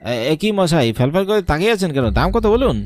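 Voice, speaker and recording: A man's voice: high voice, sing-song, quick pace, darkish tone. A man playing an anxious, chatty village husband with a fussy, questioning manner in a horror cartoon. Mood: surprised, eager.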